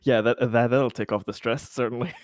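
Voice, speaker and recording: A man's voice: mid-range voice, steady tone, fairly quick. A man playing a tabletop game, chatting casually and jokily with repeated words. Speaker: player in a tabletop roleplaying game.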